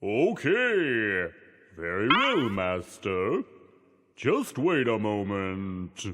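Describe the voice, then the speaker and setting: An actor voicing a jolly, grand genie of the lamp in a children's cartoon, with a husky, gravelly voice and theatrical delivery. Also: male voice, lowish voice, wildly swooping pitch, drawn-out words, pushed and bright, exaggerated voice. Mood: jolly, obliging. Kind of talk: children's animated fairy tale acting. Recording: long hall reverb, music behind.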